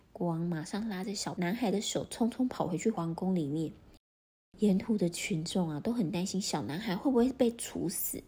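A woman narrating a fairy tale for children in a gentle, expressive storytelling manner. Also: lowish voice, slightly rough.

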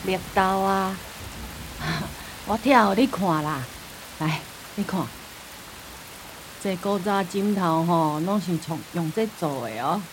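An actress playing an elderly, forgetful, stubborn grandmother in a radio drama, with a Taiwanese accent and rambling, drawn-out speech. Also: on the low side, a little hiss or hum, low-quality recording. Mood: weary, homely. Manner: rambling, drawn-out sentence-end particles.

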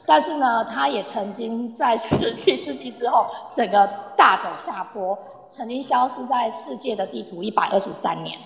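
A woman's voice: a little high, normal speed, vocal fry, few pauses. A woman giving an informal, explanatory talk, addressing her listeners directly like a teacher. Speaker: guest speaker explaining Polish culture. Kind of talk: informal explanatory talk to a live online audience. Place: live online audio room, reverberant sound. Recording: echoey, long reverb.

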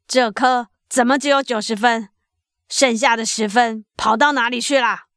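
A man's voice: high-pitched, expressive, slow pace, pushed and bright, no echo, clean studio sound, exaggerated voice. A woman voicing a boastful, disapproving father of a top pupil in a told story. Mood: annoyed.

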